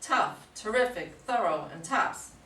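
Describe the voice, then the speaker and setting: A woman's voice: medium pitch, expressive, unhurried, pushed and bright, slight background noise. Lady acting as eager gushy assistant in a stage reading, recorded far off in an echoey room. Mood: gushing, excited. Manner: gushing, eager. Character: high-energy female assistant gushing about her boss. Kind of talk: amateur staged play reading.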